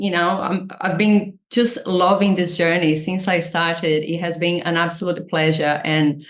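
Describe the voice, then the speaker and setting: A woman with a Brazilian accent, a nutritionist guest, explaining her work earnestly and enthusiastically. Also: lowish voice. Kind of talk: interview answers. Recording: roomy echo.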